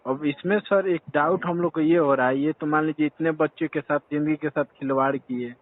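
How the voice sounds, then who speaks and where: A man's voice: fairly high pitch, steady tone, brisk. A man phoning in a complaint, deferential and earnest, calling the listener sir again and again. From Bihar, India. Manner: deferential, says sir constantly, earnest and urgent. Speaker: caller reporting a complaint. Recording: tinny phone line.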